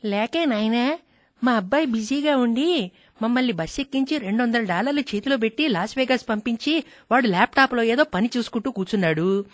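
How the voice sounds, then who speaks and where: A woman voicing a worried elderly village mother in a radio comedy, fussing and plaintive, with a somewhat high voice. She sounds close and dry, as if right at the mic. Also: animated, brisk, no echo, lightly acted. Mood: complaining. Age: older. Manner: plaintive, calls listener "son" fondly.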